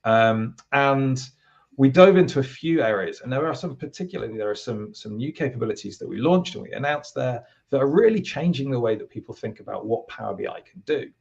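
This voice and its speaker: British guy on a video call, low gravelly voice, rambles with lots of ums, kinda dull lo-fi sound. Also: fairly quick. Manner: relaxed, rambling explanations with many fillers. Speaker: product team guest. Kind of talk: casual interview talk.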